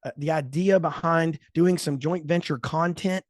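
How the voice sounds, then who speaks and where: A man in his mid fifties tells a story with plenty of energy, slipping into quoted voices and breaking into laughter. His voice sits a little high for a man. The recording is close and dry, with no room sound at all. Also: normal speed, no room sound. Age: 50s. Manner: animated storyteller, acts out quotes, laughs often. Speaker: podcast host. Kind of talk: casual chat.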